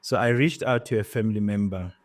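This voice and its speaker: A man's voice: fairly low pitch, fairly quick. A man from Zimbabwe, a guest recounting his journey in a podcast interview, earnest and reflective, with many "you know" fillers. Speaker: guest entrepreneur.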